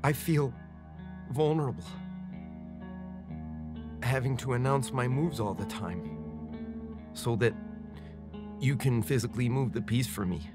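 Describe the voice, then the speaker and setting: An actor playing a volatile captive chess machine with a puppet head, speaking deliberately and swinging between menace and pleading in a dramatic film style. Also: medium pitch, over music, natural voice. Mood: wounded, formal.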